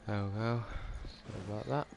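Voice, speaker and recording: A man's voice: on the low side, fairly even intonation, brisk, gravelly. A man giving casual, off-the-cuff gameplay commentary as a streamer, muttering reactions to himself with game audio behind him. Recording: loud game music behind voice. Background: game audio and music.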